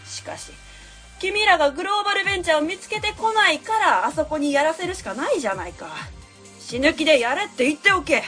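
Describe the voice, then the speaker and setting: Haughty, high-pitched academic boss, acted by a woman. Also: animated, fast talker, pushed and bright, soft background music. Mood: contemptuous, imperious. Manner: pompous, curt, condescending. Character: haughty, domineering academic giving orders. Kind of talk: web radio drama acting.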